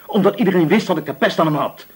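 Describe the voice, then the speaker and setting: A man's voice: high voice, very fast, husky. An actor playing a desperate, hot-tempered young male murder suspect in a radio drama, emotional and pleading. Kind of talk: Dutch radio drama acting.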